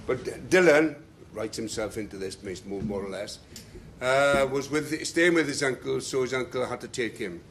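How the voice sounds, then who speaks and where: A man giving a talk to a local group and reading passages aloud with slow, dramatic pacing. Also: mid-range voice, a bit bright, slight background noise. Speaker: speaker at a talk. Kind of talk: lecture with readings.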